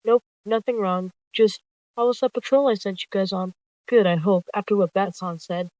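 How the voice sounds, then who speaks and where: Narrator as a male cat deputy giving orders, businesslike, soft mellow tone, muffled dry mic. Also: medium pitch, steady tone, moderate pace, dry recording, lightly acted. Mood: casual, dutiful.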